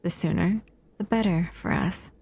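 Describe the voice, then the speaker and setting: A woman voicing a breathy, doting monster. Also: lowish voice, normal speed. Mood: hushed, scheming. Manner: soft, doting, often whispering. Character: doting possessive female monster escaping a cell. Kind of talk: audio roleplay.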